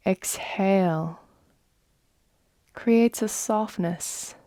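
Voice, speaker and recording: A woman leading a guided meditation in a soft, soothing manner, with long unhurried pauses. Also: medium pitch, dry recording. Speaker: yoga nidra guide.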